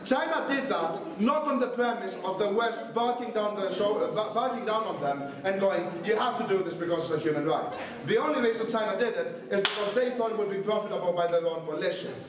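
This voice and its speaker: A young man from Greece giving a brisk, sarcastic competitive debate speech. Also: high-pitched, crowd noise. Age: young adult. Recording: echoey room, distant mic.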